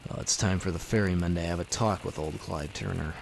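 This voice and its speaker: A young speakeasy owner in a radio detective play. His voice sits low with a creaky grain, and he talks hesitantly, like a man guarding a secret. Some noise sits behind him. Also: a little fast. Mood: brooding. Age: young adult. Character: young speakeasy proprietor leading a secret vigilante life. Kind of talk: old time radio detective drama acting.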